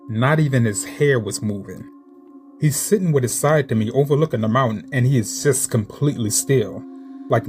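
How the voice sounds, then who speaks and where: A man narrating true-encounter horror stories in a steady, measured reading voice. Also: mid-range voice. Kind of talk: scripted horror story narration.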